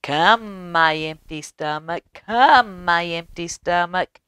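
A woman voicing a plucky little male rooster who crows his demands in a loud, high chant. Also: slow, smooth, no room sound, studio quality.

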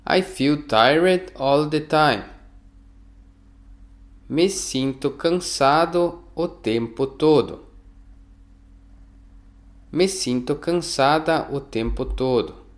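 A man teaching phrases, reading each one slowly and repeating it for learners. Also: fairly high pitch. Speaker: language teacher. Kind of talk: slow phrase drill for learners.

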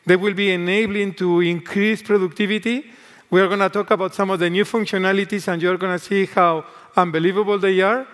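Spanish-accented executive, 50s, high-pitched, onstage keynote with hall reverb, earnest delivery. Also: male voice, fairly even intonation, a bit bright. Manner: earnest, deliberate corporate speech. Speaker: tech executive guest on stage. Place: large conference stage. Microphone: headset mic.

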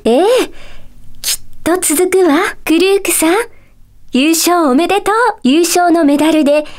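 A gentle lady teacher from a magic school for a Japanese video game. Her voice is high and sweetly composed. She speaks politely, like someone addressing young pupils, with a playful, coy touch. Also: measured pace. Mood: kindly, gentle. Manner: polite, soft-spoken teacherly phrasing with playful coyness. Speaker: voice actor.

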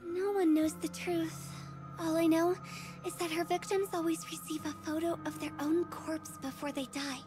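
A woman voicing a shy young woman in a visual novel, with a soft, hesitant, secretive manner. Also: very high-pitched, steady tone, normal speed, voice pushed up. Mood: secretive, ominous. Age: young adult. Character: shy bespectacled young woman, timid but ominously insistent. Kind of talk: visual novel character reading. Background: background music.

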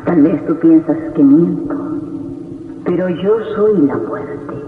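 An actor playing Death as a calm, solemn young spectre in an old radio horror drama, speaking in a measured way. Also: male voice, a little high, distant mic. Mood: grave, soothing. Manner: slow, solemn, measured delivery. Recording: tinny old radio, long reverb, music behind.

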